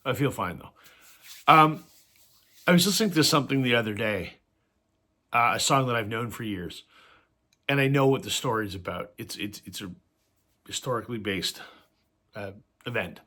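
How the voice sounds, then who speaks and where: A man with a bright, forceful voice talks casually to camera about his record collection. He is slightly hoarse from a cold and fills his stories with ums and uhs. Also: mid-range voice, lively intonation, moderate pace, pauses often. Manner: conversational storytelling with frequent fillers. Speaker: host of a vinyl record channel.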